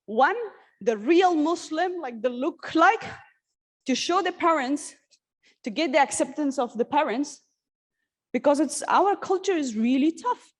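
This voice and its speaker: A woman from Syria giving an earnest, halting personal talk at a workshop, with many restarts and fillers. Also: high-pitched, expressive. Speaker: conference speaker. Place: conference hall.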